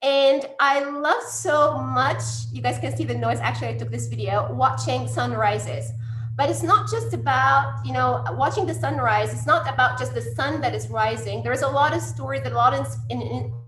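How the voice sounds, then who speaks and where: High-voiced woman presenting in an echoey room. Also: moderate pace, a bit bright, slight background noise. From Tunisia. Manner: hesitant, many fillers and restarts. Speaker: academic lab director giving a talk. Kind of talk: conference presentation.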